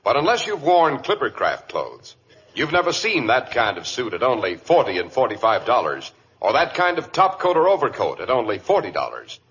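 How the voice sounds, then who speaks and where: Casting: male American announcer, mid-pitched, polished and emphatic, wide melodic swings, vintage radio commercial. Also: very animated, moderate pace, fairly bright tone. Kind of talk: 1940s radio advertising read. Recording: dull vintage radio recording with hiss.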